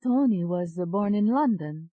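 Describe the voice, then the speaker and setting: Woman as soft, higher-voiced young Italian woman. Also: animated, no echo, phone-quality sound. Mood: polite, calm. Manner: gentle, hesitant, courteous, slow. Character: soft-spoken, polite, timid young Italian woman alter.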